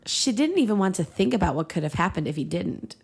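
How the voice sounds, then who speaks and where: A woman's voice: on the low side, very animated, fast, gravelly, darkish tone, husky. A young woman hosting a podcast, reading fan fiction aloud with casual asides. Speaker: podcast host and reader.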